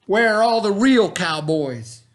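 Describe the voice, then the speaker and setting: A man puts on a young cowgirl belle from a western storybook, raised well above his own pitch. She is bold, picky and blunt. Her folksy country talk comes out with real emphasis. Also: expressive, a little slow. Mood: exasperated.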